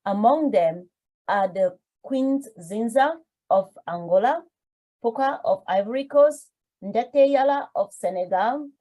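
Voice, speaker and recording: A woman's voice: fairly high pitch, lively intonation, measured pace, mellow, highs cut off. A woman hosting an interview show, reading a guest introduction and then asking questions with affirming responses. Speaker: podcast host.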